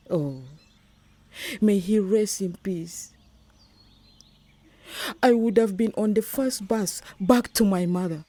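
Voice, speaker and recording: A woman's voice: medium pitch, dark tone, dry recording. An older woman playing a disapproving, traditional-minded village grandmother in a radio drama, grumbling and muttering as she talks.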